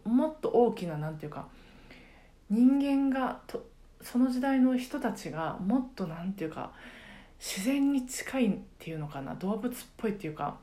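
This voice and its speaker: A middle-aged woman chatting casually on a solo podcast, reflective and halting as she searches for words. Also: medium pitch, warm tone. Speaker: podcast host and karaoke teacher.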